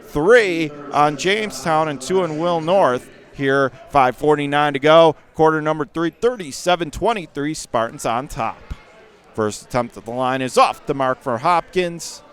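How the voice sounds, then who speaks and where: A man with a Western New York accent calling a high school basketball game live on radio, rattling off plays and rising to shouts on big moments. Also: clean tone. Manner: rapid calls that surge to excited shouts on big plays. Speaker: radio play-by-play announcer.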